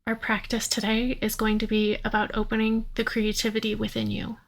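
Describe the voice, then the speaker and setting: A woman leading a guided yoga nidra meditation in a soothing, unhurried manner, leaving long pauses. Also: on the high side, completely flat delivery, creaky, rough recording.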